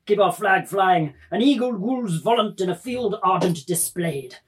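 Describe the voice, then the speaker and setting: A woman's voice: medium pitch, expressive, normal speed, lightly acted. A woman playing a blustering old father who halloos and cries out like a huntsman in a dramatised novel reading. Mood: rousing, boisterous. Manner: hallooing, crying out loudly. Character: blustering old father whooping hunting calls like a buzzard.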